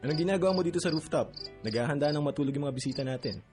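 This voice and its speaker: A man's voice: on the high side, racing, music in the background, lightly acted. A man acting as a caring, teasing older brother in a Filipino radio drama, speaking casually and coaxingly.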